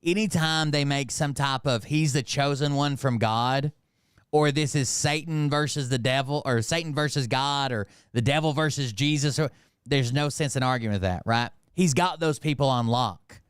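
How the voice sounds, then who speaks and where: A man from Montreal hosts a casual political commentary show. His voice is clean and smooth, recorded close and dry. He talks bluntly, with plenty of swearing and a mocking edge. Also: medium pitch, normal speed, no echo. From Montreal, Canada. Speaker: host commenting on political clips.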